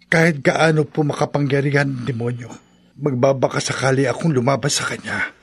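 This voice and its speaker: An actor playing an anxious, unwilling young demon son with a husky voice in a horror radio drama. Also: male voice, mid-range voice, steady tone, brisk. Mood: anxious, resolute. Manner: stammers in fear.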